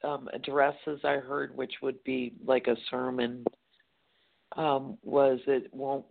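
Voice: medium pitch, flat delivery, slow pace, vocal fry, noisy background. A caller on a phone-in show, talking in a rambling way with many fillers and restarts. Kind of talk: phone-in conversation. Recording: tinny phone line.

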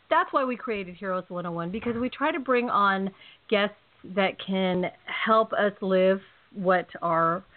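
A woman with a California accent hosting a casual radio show, chatty and rambling, laughing often. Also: medium pitch. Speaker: host. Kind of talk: casual podcast chat. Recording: tinny phone-line audio.

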